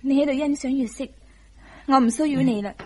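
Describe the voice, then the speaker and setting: An actress playing a gentle, dutiful, self-sacrificing young wife in a Hong Kong Cantonese radio drama, soft and careful in manner. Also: female voice, sits high, fairly quick, natural voice. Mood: meek, apologetic.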